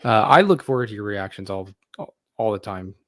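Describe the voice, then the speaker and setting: A man's voice: lowish voice, lively intonation, a little fast, a bit bright, no room sound. Hesitant man, dry close sound. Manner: hesitant, repeats words, laid-back. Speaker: podcast guest. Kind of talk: casual chat.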